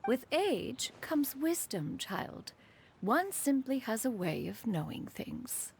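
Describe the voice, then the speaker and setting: A woman's voice: fairly high pitch, very animated, slow pace, creaky, a little mellow, slight background noise, full character voice. A woman voicing a proud, ageless bird immortal in a game cutscene, formal and haughty yet caring. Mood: wise, kindly. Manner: formal, stately, refers to herself as one. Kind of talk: video game cutscene acting. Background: music.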